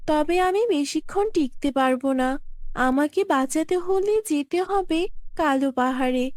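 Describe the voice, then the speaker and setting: A woman voicing a kind, selfless magical tree in a children's fairy tale, speaking earnestly and gently. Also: high-pitched, no room sound, clean studio sound. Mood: weak, grateful.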